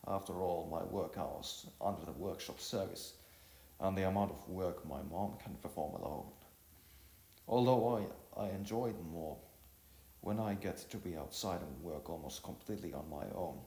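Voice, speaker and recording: Male narrator as stoic young working man, deep voice, halting and earnest, storybook character, roomy sound. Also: warm tone. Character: Theodore, stubborn, stoic, hardworking poor young man.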